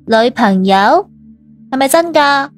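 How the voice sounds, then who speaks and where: A woman playing a cool, probing young female executive in an audio story, quietly jealous. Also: sits high, animated, unhurried, faint music behind. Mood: pointed. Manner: pointed questioning, feigned surprise.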